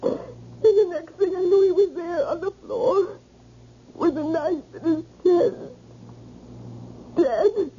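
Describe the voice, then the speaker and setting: Casting: distraught young maid, slow and high, halting through tears, old radio play. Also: female voice, mellow. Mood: tearful, panicked. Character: terrified young housemaid who killed her attacker. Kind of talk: old-time radio drama acting. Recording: muffled, hissy vintage radio broadcast.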